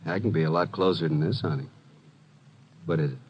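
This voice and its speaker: An actor playing a wry, flirtatious detective's assistant who narrates breezily in 1950s radio drama style. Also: male voice, rumbling low, fairly quick, highs cut off, a little hiss or hum. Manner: wry, breezy, smooth-talking.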